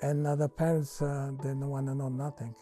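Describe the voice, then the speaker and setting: Old italian guy talking in broken english, really dark mellow voice, bit of music under it. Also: medium pitch, flat delivery. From Italy. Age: older. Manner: broken English, halting, with fillers and restarts. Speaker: interviewee. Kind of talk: reminiscing in an interview.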